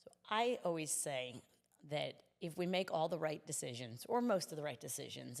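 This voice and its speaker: A woman's voice: sits low, vocal fry, long reverb tail. A woman speaking as a city commissioner in a conversational interview, explaining things earnestly.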